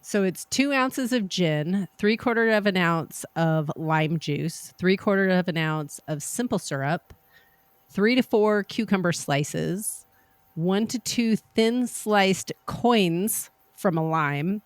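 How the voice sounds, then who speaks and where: A woman co-hosting a podcast, chatting and reading out a cocktail recipe in a measured, unhurried way. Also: fairly low pitch, animated, no echo. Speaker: podcast co-host.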